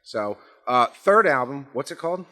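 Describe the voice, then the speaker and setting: A man's voice: medium pitch, big pitch swings, normal speed, pushed and bright. A man hosting a casual interview, often repeating his phrases in affirmation. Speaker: interviewer, show host.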